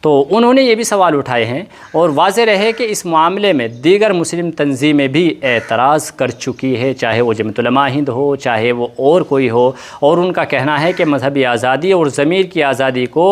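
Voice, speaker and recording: A man's voice: medium pitch, moderate pace. A man presenting news commentary in formal, Urdu-heavy diction, repeating points for emphasis. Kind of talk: news commentary mixing report and opinion.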